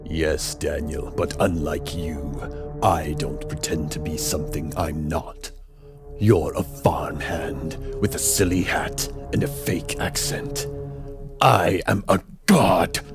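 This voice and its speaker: An extremely low-voiced grandiose supervillain takes his time with every menacing phrase. He is theatrical and pompous, a self-styled god in a radio drama, with dramatic music playing clearly behind him. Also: slow pace, exaggerated voice. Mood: contemptuous, grandiose. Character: grandiose middle-aged male supervillain with a god complex.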